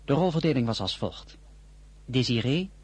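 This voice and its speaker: A man giving a radio play's closing announcement and cast credits in a measured, formal way. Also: mid-range voice, expressive, a little mellow, slightly rough. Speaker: broadcast announcer.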